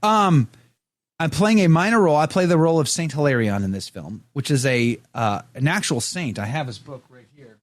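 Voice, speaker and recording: A man's voice: on the high side, lively intonation, moderate pace, dry recording. A middle-aged man hosting a podcast, chatting casually and joking while he reads viewer comments aloud. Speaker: podcast host. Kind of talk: casual chat.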